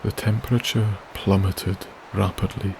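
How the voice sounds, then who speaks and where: Slow, soft-spoken male sleep-story narrator. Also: low voice, level tone, gravelly, background noise. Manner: slow, soothing, gentle delivery with long pauses. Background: wilderness ambience.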